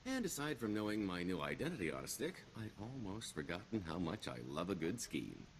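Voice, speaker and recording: A roguish con man from a video game. His deep voice moves freely up and down, wry and theatrical, hiding a wistful streak behind glib bravado. Clear background ambience sits behind him. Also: sing-song, fairly quick, a little hiss or hum. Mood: pleased, scheming. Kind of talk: video game character dialogue.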